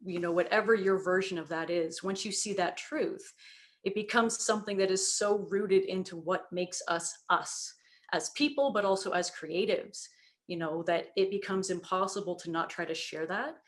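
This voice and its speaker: A woman speaking earnestly on a panel discussion about children's books, reflective and steady, with frequent "you know" fillers. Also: fairly low pitch, normal speed. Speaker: children's book creator on a panel.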